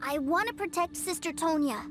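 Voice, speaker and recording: A woman voicing an eager, stubborn little boy who adores his brother in an English video game, childlike, excitable and pleading. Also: high-pitched, fairly quick, close to an everyday voice. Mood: earnest. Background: game music.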